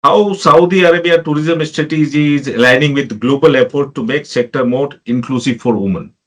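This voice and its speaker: A man's voice: medium pitch, brisk, a bit bright. Interviewer guy, mid voice, says yeah a lot, broken sentences. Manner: broken phrasing, frequent "yeah" agreement. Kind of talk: interview questions, casual back-channel.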